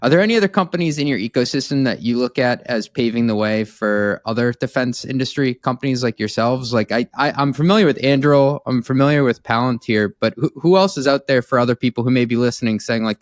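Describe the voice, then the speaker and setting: A man's voice: mid-range voice, fast talker, few pauses, no echo. A man hosting a podcast, asking curious, conversational questions of his guest. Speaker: podcast host. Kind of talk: interview conversation.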